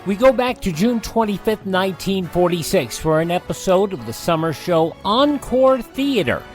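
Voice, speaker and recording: A man's voice: high-pitched, sing-song, normal speed, faint music behind. A man hosting a classic radio show, chatting in a folksy way with a touch of humor. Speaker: radio host.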